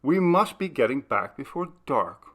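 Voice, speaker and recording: A man's voice: medium pitch, big pitch swings, measured pace, fairly bright tone, voice pushed up. A man reading aloud, voicing a fretful young woman in a storybook who keeps calling her friend back. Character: young woman, Carla, anxious and fussing.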